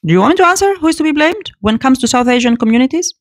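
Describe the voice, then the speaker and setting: A woman giving casual spoken commentary to her audience, animated and prone to repeating words and restarting phrases. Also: high-pitched, rapid-fire, dry recording, clean studio sound.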